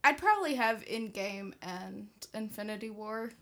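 A woman's voice: mid-range voice, moderate pace, fairly bright tone. A woman speaking as a podcast panelist in a casual discussion of films.

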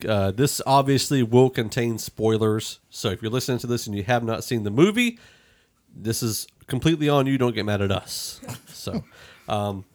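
A man with a slightly low voice hosts a podcast review. He talks informally and rambles a little, in the relaxed style of a group chat among friends. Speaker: podcast host.

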